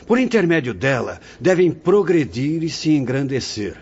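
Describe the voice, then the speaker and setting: An actor in a radio drama playing a venerable, benevolent spirit mentor who speaks in a grave, formal, preaching manner. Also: male voice, fairly high pitch, sing-song, moderate pace, darkish tone, slightly rough, exaggerated voice. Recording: muffled radio drama recording, faint background noise.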